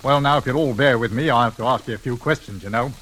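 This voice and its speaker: An actor playing a methodical, politely probing police inspector in an old radio drama, with deliberate questioning. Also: male voice, medium pitch, a bit bright, natural voice. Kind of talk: 1940s radio drama acting. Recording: old radio recording, faint hiss.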